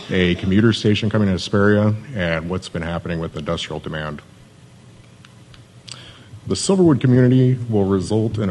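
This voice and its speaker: A man from Southern California with a Californian American accent, giving a matter-of-fact, personable live presentation as a city development manager in a monotone voice. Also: low voice, a little hiss or hum.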